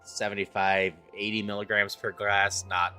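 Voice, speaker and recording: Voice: low-pitched, flat delivery, moderate pace, pushed and bright. A game streamer narrating on-screen text and chatting casually with viewers. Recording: background music behind the voice.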